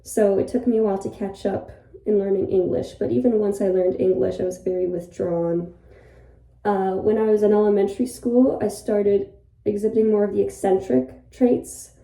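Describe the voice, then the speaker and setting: Young woman, polish first language, grew up in ireland, rambly and thoughtful, very dark mellow voice, bathroom echo. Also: mid-range voice, steady tone, normal speed. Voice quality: creaky. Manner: reflective, rambling, soft-spoken. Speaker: vlogger. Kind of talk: personal confessional vlog. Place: bathroom, sitting by the bathtub. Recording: echoey bathroom, distant from the mic.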